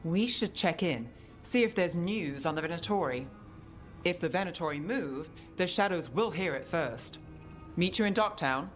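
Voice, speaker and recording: An actress playing a practical, level-headed, guarded investigator in a fantasy game. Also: female voice, on the low side, lively intonation, normal speed, in a large hall, soft background music, lightly acted. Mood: businesslike.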